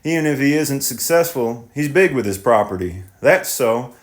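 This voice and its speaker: A man reading aloud, voicing a stubborn, pondering tenant farmer who speaks plainly and slowly. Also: medium pitch, lively intonation, pitched above the performer's own voice, close to an everyday voice. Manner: slow, pondering, plain-spoken. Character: older male tenant farmer, brooding and stubborn.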